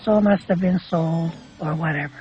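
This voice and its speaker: Older Canadian man reminiscing, higher-pitched voice. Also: normal speed, dull audio. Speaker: interviewee recalling childhood. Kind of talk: informal reminiscence.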